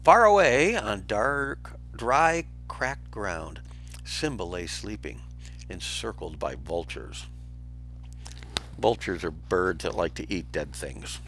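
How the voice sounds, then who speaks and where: Male storyteller for kids' books, fairly low-pitched, very animated delivery, music softly behind. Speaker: storyteller reading to pupils. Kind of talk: expressive children's storybook narration.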